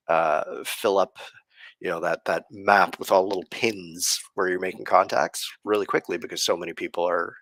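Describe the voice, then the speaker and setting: A man hosting a podcast live stream, explaining things casually in a halting, thoughtful way with frequent pauses. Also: low voice, a bit bright, no echo. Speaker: podcast host.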